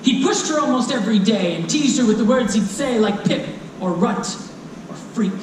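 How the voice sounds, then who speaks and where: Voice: medium pitch, animated, normal speed. A storyteller reading rhyming children's fantasy to a live audience in a dramatic, playful way, speaking directly to listeners. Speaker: storyteller presenting a children's book. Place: convention stage before a live audience. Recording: echoey live hall, distant mic, faint noise.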